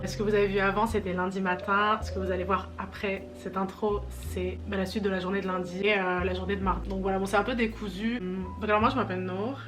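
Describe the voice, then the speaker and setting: Soft-spoken French woman, flat delivery. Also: mid-range voice. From France. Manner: soft, hesitant, many fillers. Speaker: vlogger. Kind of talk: casual vlog intro to camera. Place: home in Montreal. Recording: music bed under voice.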